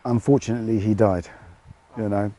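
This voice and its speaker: Mellow-voiced British man, relaxed explainer. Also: lowish voice, fairly quick. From United Kingdom. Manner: relaxed, plain-spoken, explains step by step with fillers. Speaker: fish farmer. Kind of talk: casual interview answers. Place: outdoor fish farm.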